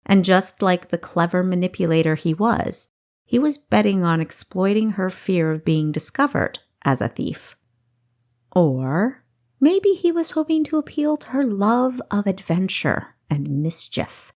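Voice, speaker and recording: Female narrator, unhurried pace, lively intonation, fantasy audiobook, expressive. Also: mid-range voice. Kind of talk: scripted fantasy story narration.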